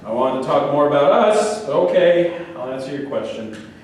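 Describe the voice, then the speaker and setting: In a very echoey room, picked up from a distance, a man performs a higher-pitched male psychiatric patient. He is grandiose and delusional, rambling and arguing his own case in a staged play reading. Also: lively intonation, normal speed, natural voice. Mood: flirtatious, insistent. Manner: argumentative, rambling, self-justifying. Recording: very echoey room, distant mic, faint noise.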